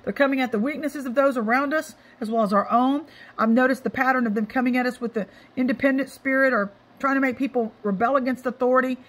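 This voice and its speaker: Middle-aged woman, ministry host. Raspy, slightly high voice, emphatic and informal, hammering phrases by repetition. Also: fairly even intonation, fast talker. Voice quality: scratchy. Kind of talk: informal devotional exhortation.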